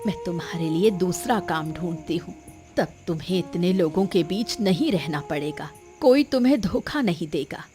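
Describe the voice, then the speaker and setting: A woman's voice: on the low side, moderate pace, faint music behind, close to an everyday voice. A woman playing a patient village mother in a cartoon, consoling and coaxing her grown son with advice. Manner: gentle, coaxing, advising. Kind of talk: Hindi cartoon moral story acting.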